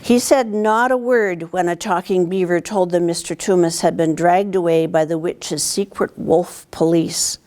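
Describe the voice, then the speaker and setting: An older woman reads a picture book aloud as a guest storyteller, in an expressive, deliberate way. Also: lowish voice. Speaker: town councillor reading to students. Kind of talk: read-aloud storybook narration. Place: indoors, library corner with bookshelves.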